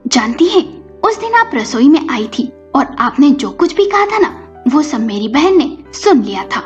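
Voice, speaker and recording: A woman's voice: sits high, very animated, unhurried, pitched above the performer's own voice. A woman storyteller voicing an earnest, reproachful young daughter-in-law in a family story. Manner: pointed, reproachful. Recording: muffled recording with music behind.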